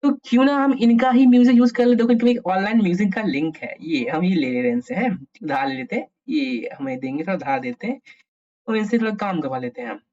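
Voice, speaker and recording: A man's voice: high-pitched, lively intonation, fast, darkish tone. A man with an Indian accent hosting a casual programming tutorial, thinking aloud and muttering questions to himself as he works. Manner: mutters to himself, asks himself questions while working. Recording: dull, muffled lo-fi recording.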